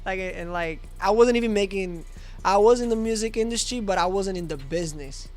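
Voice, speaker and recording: A man's voice: sits high, fairly quick. A young man, a native Albanian speaker raised in Spain, talking loosely in a studio interview with many fillers and a voice that trails off. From born in Greece, raised in Spain. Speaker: guest musician. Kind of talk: casual interview.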